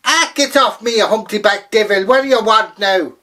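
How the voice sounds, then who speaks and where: A man voicing a small, mischievous male leprechaun, shrill, loud and wheedling. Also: normal speed, far from the mic. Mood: indignant.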